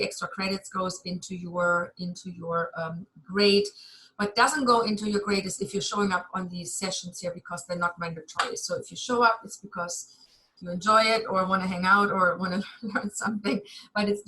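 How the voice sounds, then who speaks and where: A woman's voice: on the low side, sharp tone, rough recording. A German woman lecturing a university class over a video call, chatty and candid, with some self-deprecating humor.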